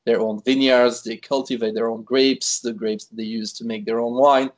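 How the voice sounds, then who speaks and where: Swiss-born man, guest in a casual interview, talky, halting with fillers. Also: mid-range voice, little pitch movement. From Switzerland. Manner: chatty, many fillers and restarts. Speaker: wine expert guest. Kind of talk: conversational interview.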